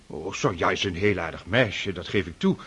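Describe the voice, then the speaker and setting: An actor playing a soft-hearted, hesitant middle-aged father troubled by debts. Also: low-pitched, expressive, normal speed, slightly rough, full character voice. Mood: gentle. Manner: gentle and hesitant. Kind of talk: radio drama acting.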